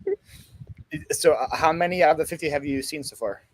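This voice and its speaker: A man's voice: on the high side, a little fast, rough recording. A man in his thirties from Ukraine with a Ukrainian accent tinged with Philadelphia, hosting a casual chat and joking and laughing at his own lines. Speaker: host.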